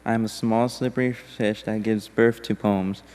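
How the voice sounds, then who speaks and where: Low, resonant man in a reverberant church. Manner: steady, deliberate recitation. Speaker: congregation member reading a poem. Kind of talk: measured poetry reading in a church service. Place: church sanctuary. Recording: long hall reverb.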